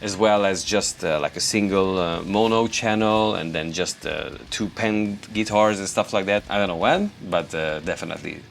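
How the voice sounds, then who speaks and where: A Hungarian man with a Hungarian accent, a guitarist hosting a casual question-and-answer video, relaxed and rambling with many fillers. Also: sits low, moderate pace, creaky, few pauses, slight background noise. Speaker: guitarist and YouTube host. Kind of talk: casual Q&A to camera.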